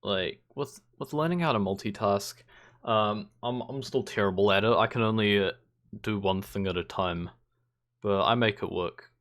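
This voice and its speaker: A man's voice: fairly low pitch, normal speed, a bit bright. A young man hosting a podcast, chatting casually with short replies and the odd dry joke. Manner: laid-back, short replies, dry jokes. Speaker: podcast host. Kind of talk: casual chat.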